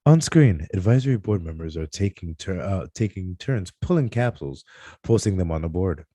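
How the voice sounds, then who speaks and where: Low mellow guy reading script directions, dry close sound, trips on words then fixes them. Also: expressive, moderate pace, dry recording. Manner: stumbles over words and corrects himself. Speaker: reader of stage directions at a script table read. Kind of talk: scripted narration read aloud live.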